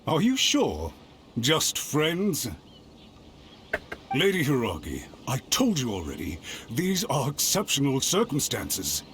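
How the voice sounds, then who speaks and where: A man playing a suspicious, high-strung retainer in a video game, formal and officious in his speech. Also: huge pitch swings, takes it slowly, fairly bright tone, a little hiss or hum, full character voice. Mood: suspicious, agitated.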